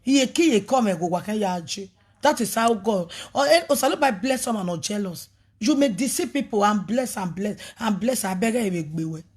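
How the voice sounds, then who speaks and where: A woman's voice: medium pitch, animated, moderate pace, a little mellow, husky. A woman, a ministry leader talking on a live video, preachy and emphatic, mixing languages. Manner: mixes pidgin, English and a local language, preachy and emphatic. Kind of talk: animated livestream talk.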